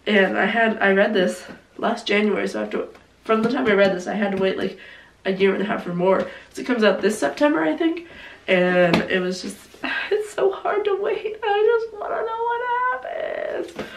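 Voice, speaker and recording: A hoarse young woman chatting casually about her favorite books, gushing and saying "like" often, with a cough now and then. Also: medium pitch, animated, normal speed. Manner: gushing, heavy use of "like". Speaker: book vlogger. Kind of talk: casual book review chat. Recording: roomy, distant mic, faint noise.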